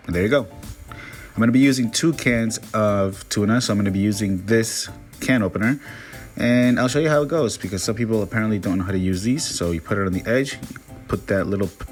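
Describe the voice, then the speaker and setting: Upbeat man from Peru, casual cooking vlog. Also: lowish voice. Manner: upbeat, chatty, self-joking. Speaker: cooking vlogger.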